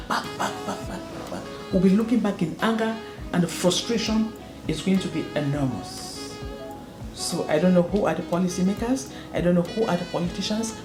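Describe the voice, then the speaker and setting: A woman who runs a school, from northwest Cameroon, answering interview questions earnestly and repeating words for emphasis. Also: lowish voice. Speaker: school proprietor and educator. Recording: roomy echo with faint music.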